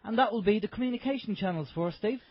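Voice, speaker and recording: Husky radio host, upbeat. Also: male voice, high voice, rapid-fire, no room sound. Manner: chatty, upbeat, hesitant with fillers. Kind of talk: casual radio chat. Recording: dull lo-fi internet radio audio.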